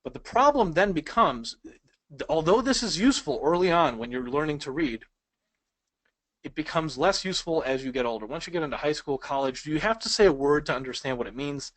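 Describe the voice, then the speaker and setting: A man's voice: a little high, lively intonation, brisk, a bit bright, no echo. A man whose first language is Polish, teaching speed reading in a conversational webinar and asking the audience questions. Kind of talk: webinar lesson.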